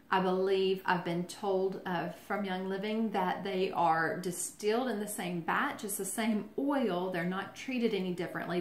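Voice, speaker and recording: A woman's voice: mid-range voice, roomy sound, slight background noise. A woman giving a casual how-to talk in a chatty, friendly way, with lots of ums and restarts. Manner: chatty, friendly, filler-heavy explaining. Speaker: essential oils presenter.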